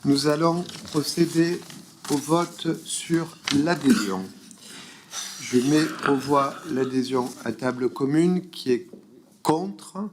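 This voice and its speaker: Slow, formal male council chair. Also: on the high side, background noise. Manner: formal, procedural.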